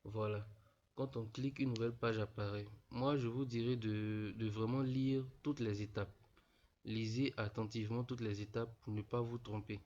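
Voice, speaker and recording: A man's voice: on the low side, fairly even intonation, normal speed, darkish tone, slight background noise. Stuffy-nosed young man explaining. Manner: repetitive, peppered with "voilà", addresses students informally. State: stuffy from a cold. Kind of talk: casual explanatory screen tutorial. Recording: dull lo-fi voice-over.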